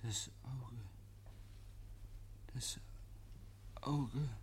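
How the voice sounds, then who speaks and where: An actor playing a deranged, guilt-ridden murderer in 1950s German radio drama, halting and repeating himself, given to breathless whispers and shrieks. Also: male voice, mid-range voice, steady tone. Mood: haunted, insistent. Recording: noisy 1950s radio recording.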